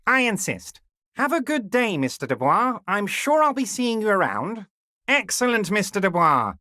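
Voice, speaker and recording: A smooth, scheming middle-aged union boss for a video game. He talks in a slow, teasing, ingratiating way, his fairly high voice rising and falling all the time. The recording is dry and close. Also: big pitch swings, bright, forceful tone, no room sound, exaggerated voice. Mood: unctuous. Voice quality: oily, ingratiating and patronizing. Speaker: voice actor. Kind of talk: video game character voice lines.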